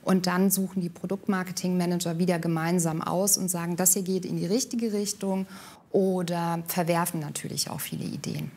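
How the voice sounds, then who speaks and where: A woman's voice: lowish voice, steady tone, brisk, warm tone. A woman speaking as a company expert in an explanatory interview.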